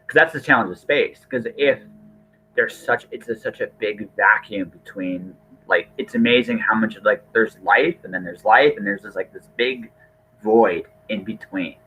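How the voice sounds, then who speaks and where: A man's voice: fairly low pitch, normal speed, bright, forceful tone, a little hiss or hum, poor audio. A man talking casually as a podcast host, earnest and rambling, often restarting his phrases.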